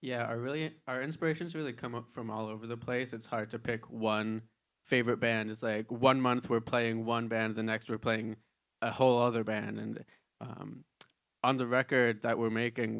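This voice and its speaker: A man's voice: mid-range voice, creaky, a bit bright. A man in his early twenties, a band member answering interview questions in a matter-of-fact way. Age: 20s.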